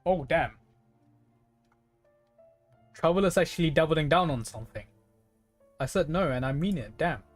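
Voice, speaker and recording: A streamer commentates casually on a game as they play. The voice sits at a middling pitch and is chatty, with playful exasperation. Music plays behind the talk. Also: moderate pace, long pauses.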